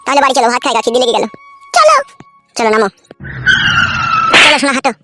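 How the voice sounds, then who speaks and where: A man voicing a whiny, hot-headed young village husband in a comic cartoon, complaining crudely and excitably. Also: extremely high pitch, fast talker, over loud music, full character voice. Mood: coaxing.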